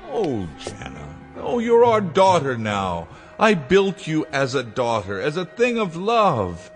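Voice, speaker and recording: A man's voice: fairly high pitch, wildly swooping pitch, slow pace, full character voice. A man playing a pleading inventor father. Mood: tender. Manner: earnest, pleading. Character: middle-aged male inventor father, pleading. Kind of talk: radio drama acting. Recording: with music underneath.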